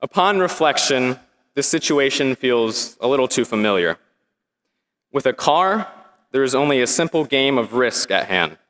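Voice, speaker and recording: A young man, giving his student graduation speech in an earnest, measured way with wry humor. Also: mid-range voice, animated, fairly bright tone. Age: young adult. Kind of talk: prepared commencement speech. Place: large indoor arena. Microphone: podium microphone. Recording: long hall reverb.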